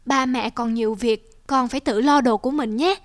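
A woman voicing a busy, practical, caring mother in a cartoon, gently instructing. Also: fairly high pitch, normal speed, faint music behind, lightly acted. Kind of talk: cartoon dubbing.

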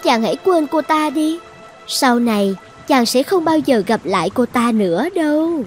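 A high-pitched young enchantress rules her island in a fairy-tale cartoon. She is possessive and sure of herself, sliding between sweet coaxing and threats, with music behind her. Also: animated, normal speed, a little mellow. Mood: threatening. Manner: commanding, self-assured.

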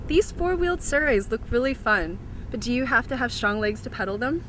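A woman's voice: a little high, lively intonation, a little fast, a bit bright, slight background noise. A young woman hosting a TV segment, asking cheerful scripted questions into a handheld mic outdoors. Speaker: TV host. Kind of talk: upbeat presenting and interviewing. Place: outdoors at a bike rental lot.

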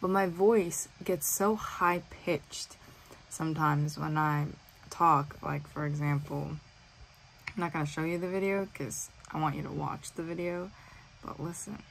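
A woman's voice: deep voice, steady tone, unhurried, creaky, a little hiss or hum, rough recording. A young woman vlogging from her bedroom, chatty and self-aware, with lots of ums. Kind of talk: casual vlog talk to camera. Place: bedroom with bunk beds, indoors.

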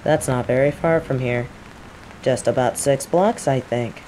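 A woman's voice: rumbling low, a little slow. A woman playing a teasing rival mage who interrogates a captive with casual, coaxing menace in an audio roleplay. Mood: quietly threatening. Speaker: voice actor in scripted audio roleplay. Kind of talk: intimate scripted audio roleplay acting. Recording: steady rain noise behind the voice. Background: rain.

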